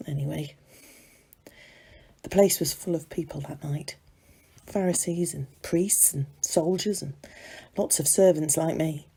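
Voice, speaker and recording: A woman reading a dramatic monologue as a gossipy serving maid of ancient times, confiding and conversational, with dramatic pauses. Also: lowish voice, gravelly, mellow, breathy edge, exaggerated voice.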